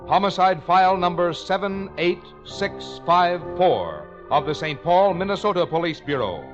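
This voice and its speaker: A man's voice: high voice, animated, moderate pace. A man working as a radio announcer, reading a formal, carefully enunciated script in 1950s broadcast style. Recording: muffled 1950 radio broadcast, faint music.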